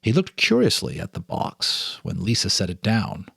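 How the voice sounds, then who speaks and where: Male storyteller with a lowish voice, deliberate and dramatic for ghost tales, recorded dry. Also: wildly swooping pitch, gravelly, pushed and bright, husky, no echo. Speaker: host and narrator reading a story. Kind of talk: dramatic ghost story reading with casual asides. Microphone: speaking to camera, reading off a teleprompter.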